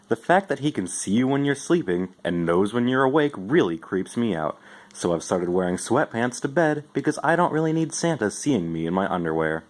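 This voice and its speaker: A man with a fairly low voice giving an expressive amateur storybook reading in the first person. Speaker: amateur reader.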